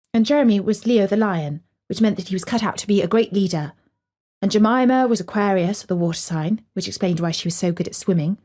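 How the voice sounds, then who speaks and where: A woman's voice: medium pitch, a little mellow. A woman storyteller reading a scripted story for children.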